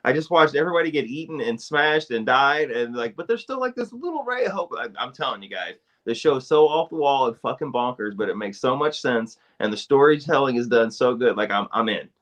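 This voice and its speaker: A man's voice: medium pitch, animated, fairly quick, hardly any pauses, a bit bright. A man co-hosting a podcast, talking in a rambling, emphatic way with lots of swearing. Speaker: podcast co-host. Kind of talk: casual podcast chat.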